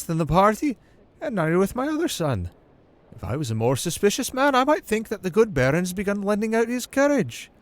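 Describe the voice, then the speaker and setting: A man playing a menacing older noble father and schemer in a fantasy audio drama, mock-courteous and condescending. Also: high-pitched. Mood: mocking menace.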